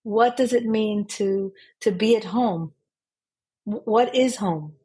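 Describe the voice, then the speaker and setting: A woman's voice: medium pitch, slow pace, warm tone, slightly rough. A woman born in Israel and raised in Switzerland, a podcast guest who talks thoughtfully and often repeats a word as she searches for it. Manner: thoughtful, with frequent repeated words. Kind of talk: casual interview.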